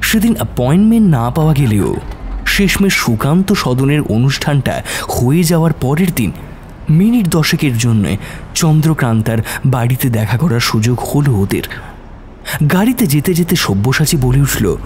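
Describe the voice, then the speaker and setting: A man's voice: mid-range voice, sing-song, moderate pace, a little mellow, a little hiss or hum. A man narrating a scripted suspense story in a dramatic style.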